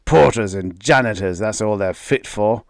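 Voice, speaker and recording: A man reading aloud, voicing an angry, bigoted middle-aged father who shouts and rants. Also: lowish voice, moderate pace, gravelly, dry recording, clean studio sound. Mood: angry ranting. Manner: ranting, shouting.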